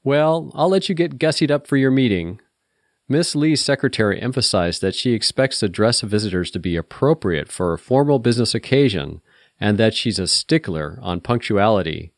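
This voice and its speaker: A man's voice: fairly low pitch, lively intonation, normal speed, no room sound, studio quality. A man voicing a playful, teasing and bossy female secretary in an audiobook story.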